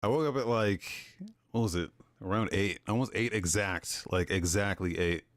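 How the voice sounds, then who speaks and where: A man's voice: sits low, normal speed, no echo, clean studio sound. A young man co-hosting a podcast, bantering in a laid-back way and calling people "dude" and "bro.". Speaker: podcast co-host. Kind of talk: casual chat.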